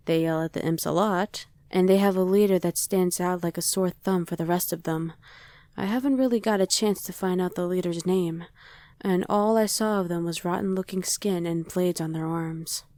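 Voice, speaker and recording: Casual young survivor played by a woman, ASMR drama, lowish mellow voice, very dry recording. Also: fairly even intonation, normal speed, no room sound, close to an everyday voice. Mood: casual, explaining. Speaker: voice actor. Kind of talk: ASMR roleplay acting.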